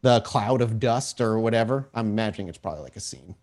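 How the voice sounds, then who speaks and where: A man's voice: lowish voice, quick pace, darkish tone. A man running a tabletop role-playing game, improvising descriptive narration in a conversational way as storyteller. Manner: descriptive, conversational, checks in with players.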